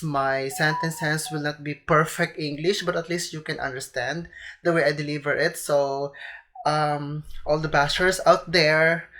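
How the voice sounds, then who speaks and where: Young Filipina vlogger with a Filipino accent and a slight cold, chatty, talks to camera. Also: female voice, sits low, a bit bright. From Philippines. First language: Tagalog. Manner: chatty, keeps addressing viewers as "guys". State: has a cold. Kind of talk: casual advice vlog to camera.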